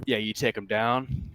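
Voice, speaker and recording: A man's voice: medium pitch, vocal fry, dry recording, poor audio. A man acting as game master, chatting casually and narrating a tabletop game.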